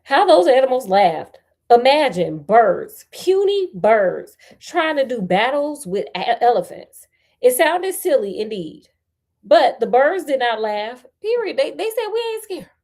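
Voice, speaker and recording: A woman's voice: high-pitched, very animated, unhurried, a little mellow. An American woman reading a storybook aloud as host, with sassy, conversational asides to her listeners. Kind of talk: storybook read-aloud with casual running commentary.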